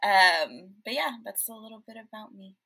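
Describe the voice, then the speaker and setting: A woman's voice: medium pitch, expressive, moderate pace, creaky, bright, forceful tone, husky. A woman from Honduras, a counselor, speaking casually as a podcast guest introducing herself.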